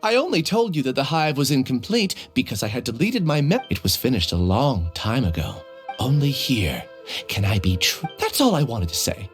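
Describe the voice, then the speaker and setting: A man acts out a remorseful, resolute male member of a hive mind in a video game. His delivery is earnest and formal, with lively swings in intonation. Soft music plays underneath. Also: medium pitch, moderate pace, close to an everyday voice. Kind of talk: dramatic video game acting.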